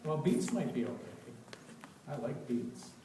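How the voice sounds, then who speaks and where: A man reading a story aloud to children in an expressive, storytelling way. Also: mid-range voice, measured pace. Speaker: story reader leading children at a church. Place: large echoey indoor church space. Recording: echoey room, distant mic, faint noise.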